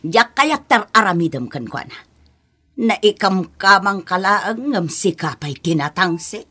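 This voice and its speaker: A woman playing a stern, protective grandmother in a radio drama, forceful and scolding. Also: medium pitch, animated, measured pace, husky, exaggerated voice. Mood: confronting. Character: stern, protective grandmother confronting her daughter-in-law. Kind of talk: Ilocano radio drama acting.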